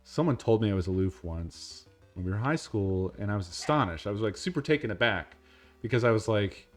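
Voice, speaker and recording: A man with a low, deep voice chats casually while he plays a game on stream. His delivery is flat and monotone, and he never rushes. Soft music plays quietly behind him. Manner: monotone, unhurried talk.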